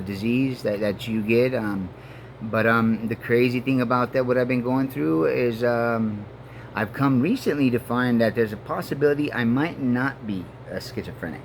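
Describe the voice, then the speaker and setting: A man in his forties from Mexico and Colorado, a native Spanish speaker, talking in a halting, earnest personal monologue full of fillers and restarts. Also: medium pitch, slight background noise. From Mexico and Denver, Colorado. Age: 40s. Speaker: vlogger. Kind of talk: rambling personal monologue to camera.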